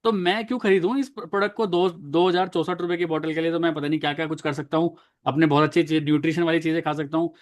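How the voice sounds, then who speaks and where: A man's voice: a little high, fast talker, few pauses. A young man in his twenties who trains network marketers, playing a doubtful customer and then coaching viewers on how to answer them. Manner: animated, coaching the viewer directly. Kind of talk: role-play sales training.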